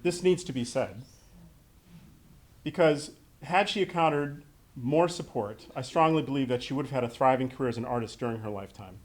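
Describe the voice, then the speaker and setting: A man's voice: medium pitch, brisk. A middle-aged American man delivering a prepared memorial speech to an audience in a heartfelt, conversational way. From Western New York State, United States. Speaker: son giving opening remarks at a memorial.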